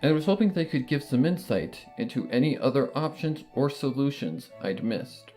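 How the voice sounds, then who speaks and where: A man narrating a fan fiction story in the first person, in a measured, earnest storytelling manner. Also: medium pitch, animated, darkish tone, soft background music.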